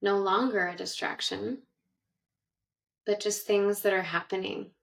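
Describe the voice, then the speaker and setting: Slow-paced woman guiding meditation. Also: mid-range voice, fairly even intonation, creaky, a bit bright. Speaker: meditation guide. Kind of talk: guided meditation narration. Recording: roomy echo, distant from mic.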